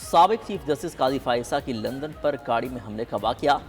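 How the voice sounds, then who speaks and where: This is a male news anchor with a Pakistani accent, reading headlines from a script. His voice sits at an average pitch, with a long reverberant tail and a faint music bed. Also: expressive, a little fast.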